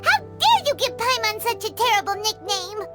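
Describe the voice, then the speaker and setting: A woman voicing a tiny, excitable female fairy sidekick in a video game, childlike, squeaky and dramatically indignant. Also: normal speed, over music. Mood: suspicious, indignant. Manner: refers to self in third person, dramatic.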